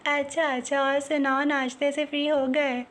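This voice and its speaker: A woman with a high voice makes casual small talk, as if on a phone call. Her intonation stays very flat and even. She keeps to short acknowledgements and simple, everyday questions. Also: normal speed.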